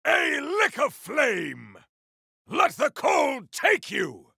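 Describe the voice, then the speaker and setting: A man voicing a proud, battle-hungry video game warrior, speaking in a theatrical, formal, declamatory manner with a gravelly, forceful edge. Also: very high-pitched, huge pitch swings, very slow, no room sound, studio quality, exaggerated voice. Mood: battle fury. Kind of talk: video game combat barks.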